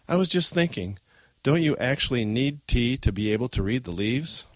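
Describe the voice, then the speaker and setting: A man's voice: mid-range voice, lively intonation, moderate pace, no room sound, natural voice. Man as a casual, teasing teenage boy. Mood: amused, skeptical. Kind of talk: audiobook character voice.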